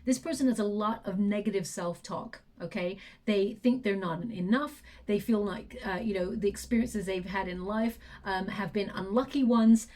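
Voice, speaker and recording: A woman giving a tarot reading, her tone mellow and dark. She talks softly and reflectively, working through ideas aloud. Her voice is going hoarse, with frequent throat clearing. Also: mid-range voice, moderate pace, few pauses. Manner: soft, reflective, thinking aloud. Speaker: tarot reader.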